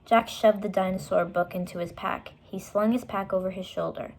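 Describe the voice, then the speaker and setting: A woman's voice: medium pitch, fairly even intonation, moderate pace. A woman storyteller narrating a children's book, with short explanatory asides for young listeners.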